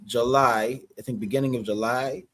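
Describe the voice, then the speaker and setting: A man with a Canadian accent, a guest actor chatting casually on a livestream, relaxed and rambling, laughing often. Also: mid-range voice, normal speed.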